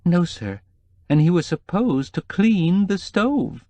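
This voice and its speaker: A man's voice: sits high, big pitch swings, slow pace, dark tone, no echo, voice pushed up, exaggerated voice. An anxious, whimpering housekeeper, as a male narrator puts her on, her voice lifted well above his own and hesitant. She frets and trails off in an audiobook reading, recorded close and dry. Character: nervous timid middle-aged female housekeeper.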